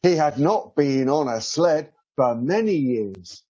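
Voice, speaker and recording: A man narrating a children's storybook in an expressive, drawn-out storytelling delivery. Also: a little high, husky, lo-fi sound. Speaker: author reading a children's story.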